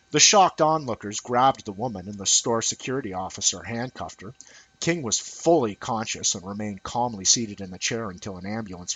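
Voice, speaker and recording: Slightly husky man reading aloud. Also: mid-range voice, a bit bright, rough recording. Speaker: amateur reader of an essay. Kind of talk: scripted essay read aloud as narration.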